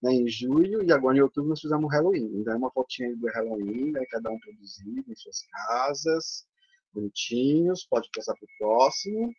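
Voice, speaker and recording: A Brazilian-accented man talks through his slides as a theatre teacher for older adults, chatty and full of tag questions. His voice sits at an ordinary middle pitch for a man. The sound comes through a dull, lo-fi video call. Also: animated, brisk, a little mellow, husky. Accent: Brazilian Portuguese. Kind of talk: conference presentation over slides. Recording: dull lo-fi online call audio.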